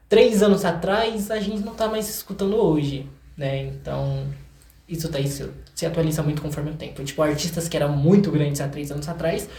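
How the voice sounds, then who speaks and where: A man's voice: on the high side, vocal fry, a little mellow. A young Brazilian man chatting casually and effusively as an interview guest, often repeating words for emphasis. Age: young adult. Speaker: singer-songwriter guest. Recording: echoey room.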